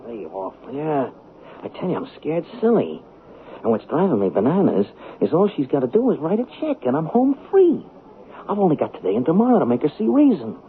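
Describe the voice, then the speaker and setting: An actor playing a desperate, whining small-time hustler in a 1970s radio drama, with slangy, stammering streetwise delivery. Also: male voice, a little high, huge pitch swings, a little fast. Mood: scared, frustrated. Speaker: actor and first-person narrator. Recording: tinny, noisy old radio broadcast.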